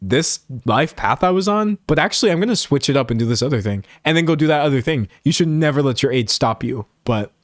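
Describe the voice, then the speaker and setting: A man in his late twenties chatting casually to viewers as a livestream host, rambling in an animated, self-mocking way and acting out imagined remarks. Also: on the high side, quick pace, hardly any pauses, dry recording, clean studio sound.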